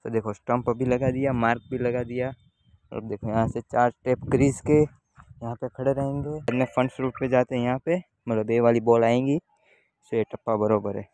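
Young man, chatty vlogger, outdoor cricket ground, camera at arm's length, mid pitch. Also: fairly even intonation, normal speed, a little mellow, no echo. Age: young adult. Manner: chatty, addresses viewers directly. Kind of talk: casual vlog narration. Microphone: handheld camera at arm's length.

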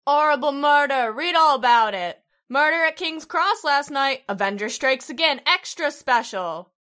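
Woman as a street newsboy for a radio play. High and sharp, hawking loudly. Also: animated, normal speed. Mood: shouting. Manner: hawking, calling out loud. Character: young male newsboy shouting headlines. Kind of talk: old-time radio drama acting.

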